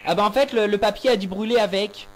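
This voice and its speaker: A man's voice: high-pitched, fast, slight background noise. A young man improvising a prank phone call, feigning seriousness in a hesitant but insistent way. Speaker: prank caller.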